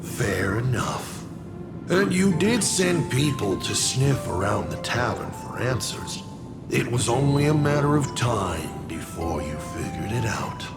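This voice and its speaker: An actor playing a sly, theatrical masked trickster who poses as a father, wry and gravelly, in a video game. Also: mid-range voice, very animated, unhurried, loud music behind the voice. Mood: amused. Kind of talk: dramatic video game dialogue.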